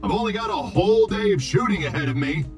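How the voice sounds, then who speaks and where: An actor playing an arrogant, impatient robot Hollywood director in a video game, with a pompous, exasperated, theatrical delivery. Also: male voice, high-pitched, lively intonation, fast, faint music behind. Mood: impatient, irritated. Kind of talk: video game character acting.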